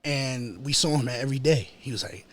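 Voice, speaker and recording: A man's voice: medium pitch, brisk. A man from New York with a New York accent, a rapper telling stories casually and slangily as a podcast guest. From New York City. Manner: slangy, repetitive, peppered with "you know" and "yo". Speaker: hip-hop artist, podcast guest. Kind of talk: casual storytelling in an interview.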